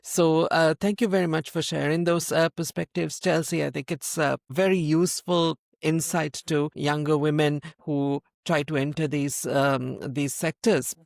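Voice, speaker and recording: A man's voice: on the high side, fairly even intonation, normal speed, creaky, hardly any pauses, no room sound, clean studio sound. A man from Sri Lanka hosting an interview, speaking emphatically and repeating points for stress. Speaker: host. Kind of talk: conversational interview.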